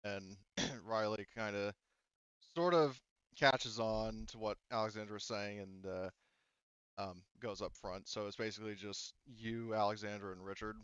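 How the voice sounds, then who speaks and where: A man's voice: fairly low pitch, fairly even intonation, a little slow, a bit bright, clear, resonant tone, no room sound. A man narrating a tabletop game casually as game master, on a slightly dull microphone.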